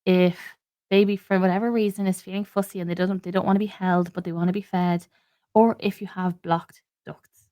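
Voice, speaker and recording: An Irish woman in her thirties hosts a solo podcast. Her voice sits a little low and sounds stuffy from a cold and a sore throat. She explains things earnestly, restarting sentences and dropping the odd "um". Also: little pitch movement, fairly quick, mellow. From Ireland. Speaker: podcast host. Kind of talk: informative solo podcast talk.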